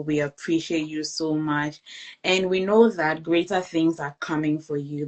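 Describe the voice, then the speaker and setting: Low-voiced woman hosting an interview. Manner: encouraging, affirming. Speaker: interview host, study-abroad agent. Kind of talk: livestream interview.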